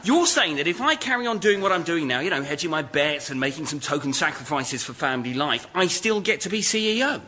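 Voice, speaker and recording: A selfish, career-mad British executive played by a man in radio comedy. He argues blithely in a fairly high, very animated voice, over noticeable noise. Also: a little fast, few pauses, close to an everyday voice. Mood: gleeful, ruthless. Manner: blithe, argumentative. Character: selfish, ambitious workaholic executive and neglectful father. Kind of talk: radio sketch comedy acting.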